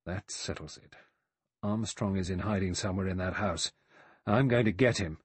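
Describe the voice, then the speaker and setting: Man playing a cool sardonic adventurer, husky. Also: medium pitch, very animated, measured pace, darkish tone, no room sound, voice pushed up, full character voice. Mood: grim. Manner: easy, mocking, thoughtful.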